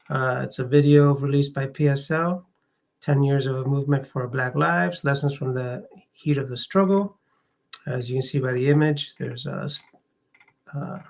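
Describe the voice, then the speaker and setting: Livestream host, casual talk, gravelly fry, medium pitch, hesitant halting delivery. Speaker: host of a read-along stream.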